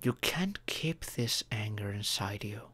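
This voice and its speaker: A performer voicing a caring, earnest young romantic partner in an intimate ASMR roleplay, with deliberate pauses. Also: mid-range voice, lively intonation, fairly bright tone, husky. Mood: reassuring.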